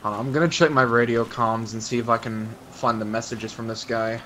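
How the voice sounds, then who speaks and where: Medium-pitched man in game voice chat. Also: steady tone, a little fast, pushed and bright. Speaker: online roleplay game player. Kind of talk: casual in-character roleplay chat. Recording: game voice chat with faint noise.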